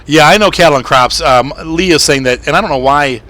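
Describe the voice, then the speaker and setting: Chatty man with a bright, slightly rough voice. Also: fairly high pitch, fairly quick. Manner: rambling, chatty, with frequent fillers and soft laughs. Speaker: gaming channel host. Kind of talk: casual live-stream gameplay commentary.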